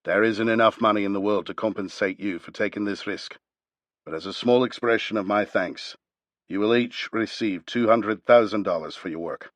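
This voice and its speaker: A man's voice: fairly low pitch, no echo, natural voice. A man reading aloud, voicing a reserved old male warrior speaking solemnly. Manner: measured, restrained.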